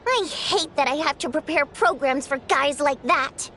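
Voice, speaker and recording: A woman playing a proud, easily offended theater singer in scripted video game dialogue, with an expressive, theatrical, pointed delivery. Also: high-pitched, unhurried, slight background noise. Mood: indignant.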